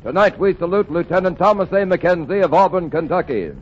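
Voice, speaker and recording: Male announcer, solemn 1940s radio read, high voice, muffled sound. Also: fairly quick, breathy edge.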